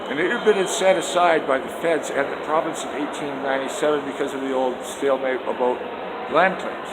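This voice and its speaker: A loud older man rants with emphatic indignation about politics, recording himself on a phone held out on a selfie stick. His voice sits at a middle pitch and rings through an echoey hallway with a long tail of reverb. Steady noise hums behind him. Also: brisk. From Canada. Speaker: vlogger. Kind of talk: rambling political vlog. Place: outdoors then indoor stairs and hallway. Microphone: handheld phone on a selfie stick.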